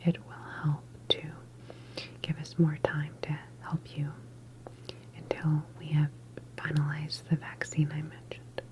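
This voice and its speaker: A woman whispering softly in an ASMR medical roleplay as a calm, reassuring doctor, explaining each step politely in a breathy voice. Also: low voice, low-quality recording. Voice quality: breathy, mostly unvoiced whisper. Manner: soft, unhurried, gently explanatory, polite. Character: calm, reassuring female doctor at a sci-fi government facility. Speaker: ASMR performer playing a medical examiner.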